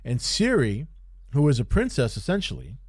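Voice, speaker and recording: A man with a medium-pitched voice talks as a podcast host giving an off-the-cuff review. He speaks haltingly and repeats phrases to stress his point. The sound is dry, with very little room echo. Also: huge pitch swings, darkish tone, slightly rough. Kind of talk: casual unscripted review commentary.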